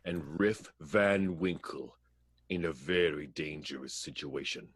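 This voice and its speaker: A man voicing a ruthless, scheming male theater boss who speaks calmly. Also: a bass voice, a little slow, husky, no echo, full character voice. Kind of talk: improvised radio play.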